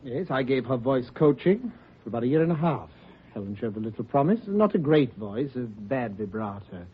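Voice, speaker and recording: Defensive, formal voice coach. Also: male voice, mid-range voice, sing-song, moderate pace, breathy edge. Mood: composed. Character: defensive, anxious male voice coach guarding his reputation. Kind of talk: 1950s radio drama acting. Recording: dull old radio recording.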